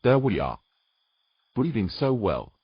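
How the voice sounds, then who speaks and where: An actor playing a tender, protective man with a husky voice and a gentle, coaxing manner in an intimate fantasy romance. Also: lowish voice, big pitch swings, slow pace, dry recording, lo-fi sound, exaggerated voice. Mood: soothing. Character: tender, protective human man soothing a mermaid.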